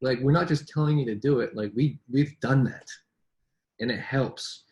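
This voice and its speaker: A man's voice: medium pitch, normal speed, darkish tone. A man, born in New York and raised in Vancouver, talking casually as a business English coach, with frequent restarts and rhetorical questions. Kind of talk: casual conversation between colleagues.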